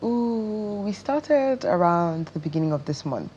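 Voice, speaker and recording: A woman's voice: medium pitch, expressive, a little slow, dark tone, muffled recording, slight background noise. A woman with a Nigerian accent speaking casually as an actress being interviewed on television. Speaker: interview guest, stage actress.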